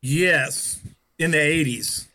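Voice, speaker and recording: A man co-hosting a film review chat, animated and jokey. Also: fairly high pitch, slow. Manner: animated, jokey, acts out scenes in mock voices. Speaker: podcast co-host reviewing a film. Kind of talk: casual chat.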